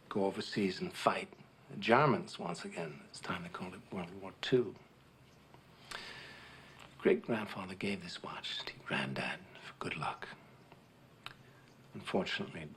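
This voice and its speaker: Low-voiced, solemn veteran captain played by an actor. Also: male voice, lightly acted. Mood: earnest, reminiscing. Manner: slow, halting, earnest storytelling with long pauses. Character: solemn middle-aged war veteran captain addressing a small boy. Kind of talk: film drama monologue. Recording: noisy film soundtrack.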